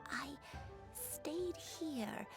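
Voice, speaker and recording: A woman voicing a frail, gentle old granny in a video game, hesitant and forgetful, with a creaky voice. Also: sits high, animated, very slow, music in the background, full character voice. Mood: wistful, halting. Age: older.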